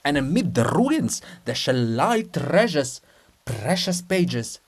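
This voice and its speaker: A man's voice: a little high, big pitch swings, slow, full character voice. A man voicing a pompous, solemn monk chronicler monument, declaiming loftily with pathos in a dramatic reading of a farce.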